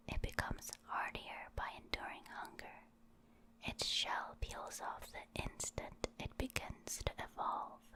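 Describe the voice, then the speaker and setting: A woman whispering as she reads short reference entries aloud in an ASMR style. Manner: whispering, slow and deliberate. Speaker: ASMR creator reading aloud.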